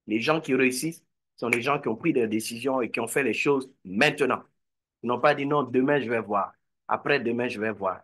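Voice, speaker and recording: A man's voice: a little high, a bit bright. A man giving a motivational talk to an online meeting, exhorting and repeating phrases. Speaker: network marketing speaker.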